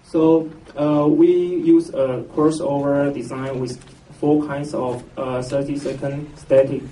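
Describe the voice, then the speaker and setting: A man from Taiwan with a Taiwanese accent gives a scientific conference presentation, halting and hesitant, with very frequent filled pauses. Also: medium pitch, little pitch movement, mellow. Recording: roomy, noisy, distant mic, muffled echo.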